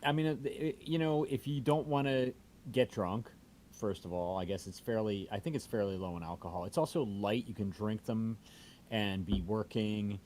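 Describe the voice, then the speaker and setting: A man's voice: on the low side, steady tone, moderate pace, darkish tone. A man chatting casually on a livestream in a rambling, sweary way. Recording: faint background noise.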